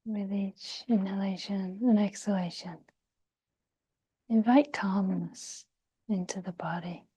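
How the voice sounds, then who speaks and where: A woman guiding a meditation in a soft, breathy, near-whispered voice, gentle and soothing, with long pauses. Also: mid-range voice, steady tone, rough recording. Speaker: meditation guide. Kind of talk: guided meditation.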